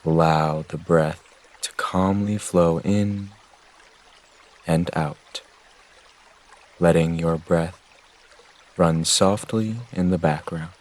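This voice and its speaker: A man gently guiding a meditation with measured, soothing instructions. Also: low-pitched, fairly even intonation. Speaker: meditation guide. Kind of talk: guided meditation narration.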